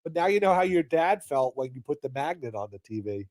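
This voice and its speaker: Higher-voiced man in casual podcast chat. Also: very animated, fairly quick, darkish tone, dry recording. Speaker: podcast co-host.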